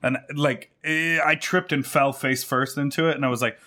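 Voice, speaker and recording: Chatty chicago dude telling stories on a podcast, lots of likes. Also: male voice, medium pitch, fairly even intonation, normal speed, pushed and bright. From Chicago, USA. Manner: chatty storyteller, many "like"s. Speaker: podcast guest, hip-hop artist. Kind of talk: casual chat.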